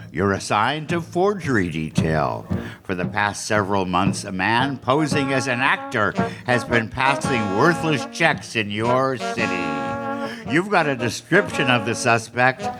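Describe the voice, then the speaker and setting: A man announcing in a dramatic, scripted 1950s radio style, emphatic and theatrical. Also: mid-range voice. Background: loud live music.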